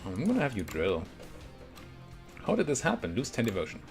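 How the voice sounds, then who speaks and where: A man's voice: medium pitch, wildly swooping pitch, fairly quick, faint music behind. A man giving casual let's play commentary on a strategy game, thinking aloud and muttering to himself as he goes. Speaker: video game streamer playing a strategy game.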